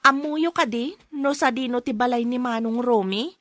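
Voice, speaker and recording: A woman's voice: on the high side, moderate pace, a bit bright, no room sound, studio quality, lightly acted. A woman playing a helpful younger niece in a radio drama, with an Ilocano accent. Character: younger niece Jenny, helpful companion to her aunt.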